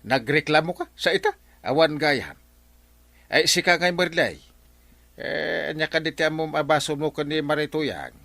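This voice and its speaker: A man's voice: fairly high pitch, lively intonation, moderate pace, creaky, pushed and bright, dry recording, heavily acted. A man playing a comic, flustered village captain in a comedy drama, with a Filipino accent and a stammering, exclamatory delivery. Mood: officious. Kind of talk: Ilocano comedy radio-drama acting.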